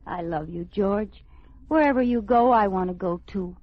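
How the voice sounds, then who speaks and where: An actress playing a playful, scatterbrained, affectionate housewife, coy and teasing, in an old radio comedy style. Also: female voice, mid-range voice, moderate pace, no room sound, lightly acted. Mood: coy, tender. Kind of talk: 1940s-50s radio comedy acting. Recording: dull, muffled old radio recording.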